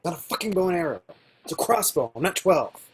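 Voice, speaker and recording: A woman playing a curt, irritable young vigilante with a husky voice in an amateur table reading. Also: lowish voice, very animated, fast, noisy background. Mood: irritated.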